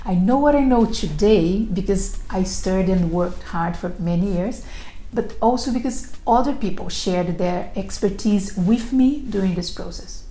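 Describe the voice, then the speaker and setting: Woman, non-native English accent, soft-edged mellow tone, careful phrasing, recruiter presenting to camera, roomy echo. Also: medium pitch, a little slow. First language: not English. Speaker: recruiter presenting a video series. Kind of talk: prepared self-introduction to camera. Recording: roomy echo, faint background noise.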